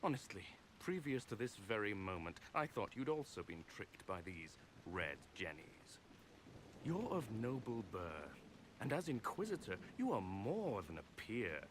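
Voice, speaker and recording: Low-voiced, smug nobleman. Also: big pitch swings, unhurried, slight background noise, rough recording. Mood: smooth, persuasive. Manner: pompous, oily, mock-courteous. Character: smug, scheming male nobleman, genteel and condescending. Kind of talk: video game voice acting.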